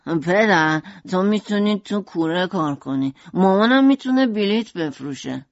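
A woman voicing a gloomy, morbid young man of twenty, speaking in a lowered, brooding tone. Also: no room sound, close to an everyday voice. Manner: low, brooding delivery. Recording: dull lo-fi audio.

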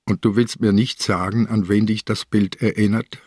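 An actor playing an old, sick, stubborn police inspector in a radio crime drama, speaking deliberately and insistently. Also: male voice, fairly low pitch, level tone, a little mellow, husky, no echo, studio quality. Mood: brooding, insistent. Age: older.

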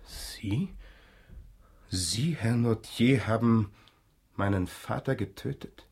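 A low-voiced young gentleman in a radio drama. He speaks formally and gravely, with measured declamation, as one determined to learn who killed his father. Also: full character voice. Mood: shaken, demanding. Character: young man demanding the truth about his murdered father. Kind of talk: German radio drama acting.

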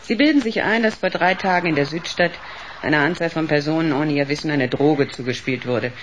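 An actress playing a cold, authoritative professor of psychiatry in a radio drama, measured and clinical. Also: female voice, deep voice, slight background noise, close to an everyday voice, rough recording. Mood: coolly clinical. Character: cold, authoritative female professor running a locked psychiatric ward.

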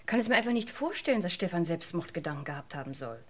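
A woman's voice: lowish voice, big pitch swings, fast talker. An actress playing an agitated, protective lady friend in a German radio crime drama, emotional and exclaiming. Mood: shocked, indignant.

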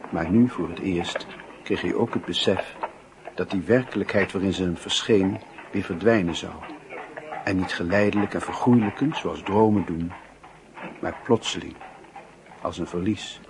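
A man narrating a literary radio drama in a measured, solemn storytelling manner. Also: low-pitched. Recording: noisy old radio drama recording.

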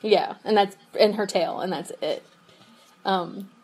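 A woman's voice: mid-range voice, measured pace, a little mellow, faint music behind, poor audio. A young woman co-hosting a podcast over a remote call, chatting casually and giggling often, with lots of fillers. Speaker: podcast co-host. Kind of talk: casual chat.

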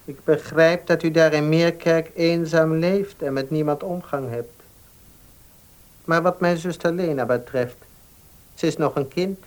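A man's voice: a little high, fairly even intonation, unhurried, close to an everyday voice. A man plays a careful, protective baker in a Dutch radio drama. He talks formally and deferentially, choosing his words with some hesitation. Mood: cautious, protective. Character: polite, cautious baker, protective older brother. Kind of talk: Dutch radio play acting.

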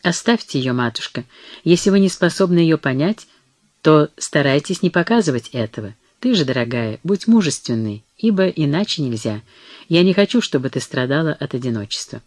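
A reader lends a slightly lower voice to a weary medieval knight, gentle with his wife yet immovable in duty. The delivery is restrained and even, recorded dry and close. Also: moderate pace, no room sound, lightly acted. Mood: firm. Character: weary knight husband, gentle but firm.

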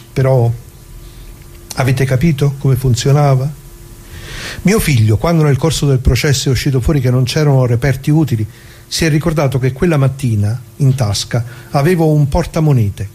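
An actor playing an elderly, plain-spoken working-class man from northern Italy in a conversational monologue, talking haltingly and colloquially straight to listeners. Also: mid-range voice, mellow, husky, close to an everyday voice. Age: older.

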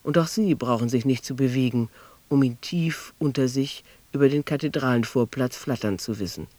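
A man's voice: medium pitch, normal speed, darkish tone, dry recording, close to an everyday voice. A man performing as a sober, precise narrator in a literary radio play. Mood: sober, observant.

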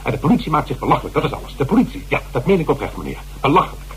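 Man doing an indignant lawyer, lively swooping delivery, formal and emphatic, hummy old radio play. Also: medium pitch, racing, natural voice, rough recording. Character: earnest, indignant male lawyer defending his friend. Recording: hum and hiss of old radio recording.

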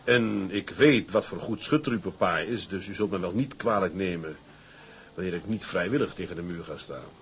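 A man playing a shrewd, defiant tugboat captain in a radio drama, with a husky voice and a wry, blunt, commanding manner. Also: low voice, normal speed, slight background noise. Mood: wry defiance. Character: shrewd, defiant male tugboat captain, plain-spoken schemer. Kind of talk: Dutch radio drama acting.

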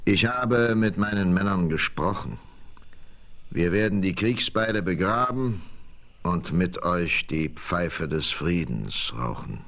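A man's voice: low voice, expressive, slow, fairly bright tone, full character voice. A man playing a proud, aging Comanche chief with solemn, declamatory delivery in a German radio play. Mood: grave.

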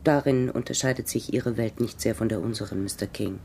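A female secret agent in a German radio drama, with a husky voice. She is cool and worldly, speaking in a measured, knowing way. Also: a bass voice, mellow, heavily acted.

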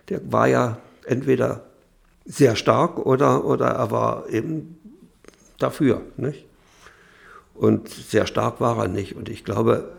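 An older man reflecting in an interview, speaking haltingly and searching for his words. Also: mid-range voice, vocal fry. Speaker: interviewee, a writer's son. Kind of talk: reflective spoken recollection.

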